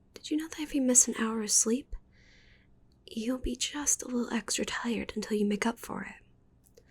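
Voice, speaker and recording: Whispering possessive witch played by a woman, slow. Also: mid-range voice, darkish tone, exaggerated voice. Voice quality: breathy whisper. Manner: slow, intimate, cooing menace. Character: possessive, needy young witch doting on shrunken captive. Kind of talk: ASMR roleplay.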